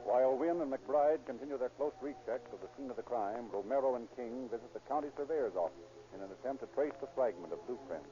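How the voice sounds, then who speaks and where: Actor as a rapid 1930s radio narrator. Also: male voice, mid-range voice, lively intonation, close to an everyday voice. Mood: urgent. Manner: formal, declamatory. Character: urgent male narrator of a police serial. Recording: muffled 1930s radio recording. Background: dramatic music.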